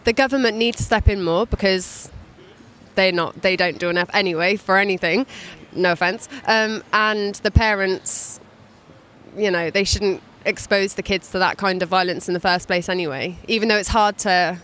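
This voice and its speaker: A woman's voice: medium pitch, steady tone, moderate pace, a bit bright. Young woman answering casually, hesitant. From Wales. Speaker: interviewee. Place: busy city street outdoors. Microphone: handheld mic.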